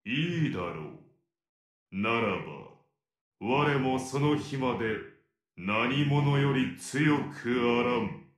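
A man's voice: medium pitch, animated, slow. An actor playing an ancient serpent demon king in a video game, speaking in archaic, imperious phrases. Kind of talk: video game story dialogue. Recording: roomy echo.

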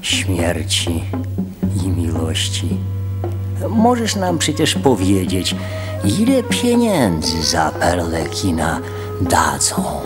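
An actor playing an earnest, deferential village spokesman in a radio play, speaking deliberately and ceremoniously. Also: deep voice, huge pitch swings, loud music behind the voice, heavily acted. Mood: deferential, probing. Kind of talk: radio drama acting.

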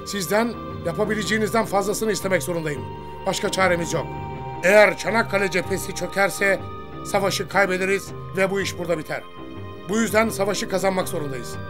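An actor playing a grave, resolute older army commander in a cartoon, giving a formal, authoritative briefing. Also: male voice, high voice, over music, close to an everyday voice. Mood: grave resolve. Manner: solemn, authoritative, measured.

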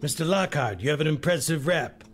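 An actor playing a menacingly jovial, teasing mob boss in a noir video game. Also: male voice, fairly high pitch, expressive, fairly quick. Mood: jovial menace.

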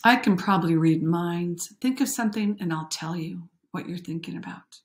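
A woman's voice: on the low side, moderate pace, natural voice. Woman voicing an eager, boastful little superhero boy. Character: excited young boy imagining he is a superhero.

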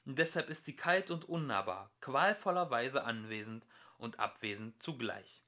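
Male amateur audiobook narrator, mid-pitched, animated intonation, expressive scripted read. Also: moderate pace.

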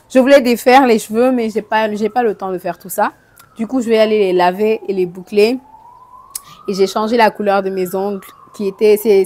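Give me a slightly hoarse woman in her thirties chatting to her phone camera in a small room. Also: medium pitch, darkish tone. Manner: chatty, mixes French with English phrases. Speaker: vlogger. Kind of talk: casual vlog chat to camera. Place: small bedroom with clothes rack, indoors. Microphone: phone camera at arm's length.

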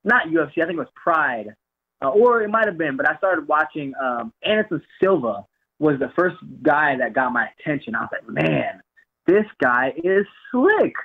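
A young man speaking over a thin, tinny phone line, his voice sitting a bit high. He talks in a loose, rambling way, starting phrases twice before he gets them out. He sounds like a guest who has called in to a show. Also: moderate pace, slightly rough. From Jamaica, raised in Florida. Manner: relaxed, rambling, repeats words. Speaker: guest fighter. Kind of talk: phone-in interview.

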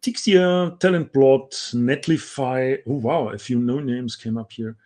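A man's voice: mid-range voice, a little slow, darkish tone. A middle-aged man from Austria with an Austrian German accent, co-hosting a casual video call chat, talking in a chatty way with many fillers. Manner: chatty, many um fillers, reads names out carefully. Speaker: co-host. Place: home room with bookshelves. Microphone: video call with earbud.

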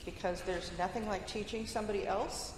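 A woman's voice: mid-range voice, fairly even intonation, a little fast. A woman on a conference panel speaking plainly and encouragingly about mentoring, in a large hall. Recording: echoey hall recording with light noise.

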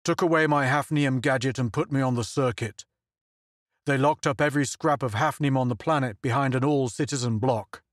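A man's voice: mid-range voice, moderate pace, no echo, studio quality, natural voice. A man voicing a hunted, determined man who speaks in an urgent, fierce tone in an audiobook. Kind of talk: audiobook character voice.

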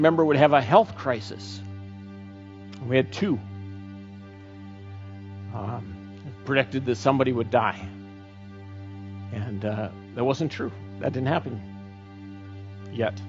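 A middle-aged man preaching a sermon and leading a closing prayer, slow and earnest with frequent pauses, on a headset mic. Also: medium pitch, soft background music. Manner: slow, earnest, pausing often. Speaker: pastor. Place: church stage, indoors. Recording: dull church recording with long reverb.

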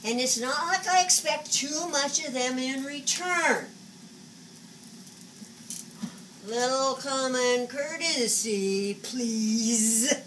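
A woman's voice: high voice, fairly bright tone. A woman in her seventies playing a flamboyant, agitated psychic who scolds spirits in a dramatic stage monologue. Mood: indignant. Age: 70s. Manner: dramatic, exasperated, halting muttering to outbursts. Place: small indoor stage set. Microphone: camera a few metres away. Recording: distant camera recording, noisy room.

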